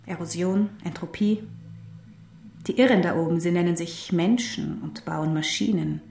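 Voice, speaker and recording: An actress in a German radio drama playing a knowing teacher who explains history in a deliberate, emphatic way. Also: female voice, on the low side, vocal fry, a little mellow, roomy sound, slight background noise. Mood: matter-of-fact, emphatic. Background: music.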